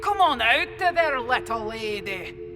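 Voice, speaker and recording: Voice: medium pitch, sing-song, slow pace, in a large hall. An actor playing a boisterous, playful pirate in an audio drama, with hearty, teasing pirate talk, recorded under music. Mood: playful menace.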